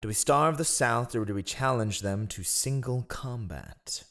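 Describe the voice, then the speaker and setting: A man's voice: mid-range voice, expressive, measured pace, a bit bright. A man playing a scheming, haughty royal spy master in a fantasy audio roleplay, with sardonic, theatrical disdain. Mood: cordial, wry.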